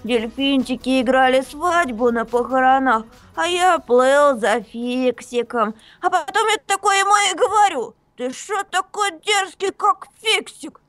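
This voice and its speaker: A woman plays a stoned young man spinning dreamy nonsense stories, in a high voice. It is a homemade visual novel dub with faint music behind. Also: a little slow, few pauses, a bit bright, dry recording. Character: stoned rambling young male co-author.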